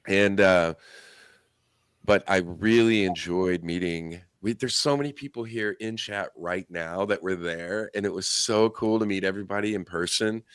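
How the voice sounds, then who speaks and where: Hoarse, deep-voiced man chatting casually. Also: moderate pace, fairly bright tone, no echo, studio quality. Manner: laid-back, rambling with fillers. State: hoarse, losing his voice. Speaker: livestream co-host. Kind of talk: casual chat.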